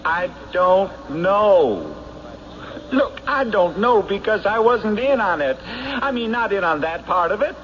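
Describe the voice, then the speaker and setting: An actor playing a weaselly small-time crook in an old-time radio drama, pleading, halting and evasive under pressure. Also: male voice, sits high, very animated, a bit bright, exaggerated voice. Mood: pleading, defensive. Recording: noisy, muffled vintage radio broadcast.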